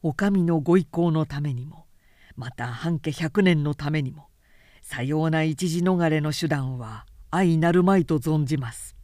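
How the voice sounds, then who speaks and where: A woman voicing a resolute, principled samurai man in an audiobook, speaking in a firm, quiet, deliberate and formal way. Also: sits low, no room sound, clean studio sound. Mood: firm dissent. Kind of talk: dramatic audiobook character reading.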